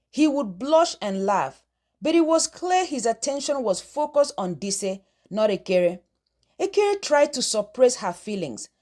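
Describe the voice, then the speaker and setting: A woman's voice: fairly high pitch, a little mellow. A woman narrating a scripted folktale as the storyteller.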